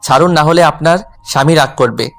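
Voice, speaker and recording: An actor plays a lovelorn young man telling his own story in a romantic audio drama. His voice sits a little high for a man, with a melancholy, self-effacing manner. Soft music plays behind him. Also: a little fast. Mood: resigned. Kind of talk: scripted first-person romantic story narration with dialogue.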